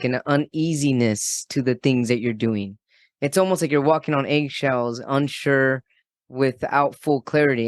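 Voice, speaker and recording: A man's voice: mid-range voice, no room sound, clean studio sound. A man hosting a motivational podcast, enthusiastic and affirming as he welcomes and questions his guest. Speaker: podcast host.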